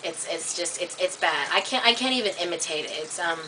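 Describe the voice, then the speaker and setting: A woman vlogging in a casual, rambling monologue to camera, full of "like" and "um", getting animated and high when worked up. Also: a little fast. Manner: chatty, filler-heavy, many "like" and "um" restarts. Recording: distant webcam mic with faint hiss.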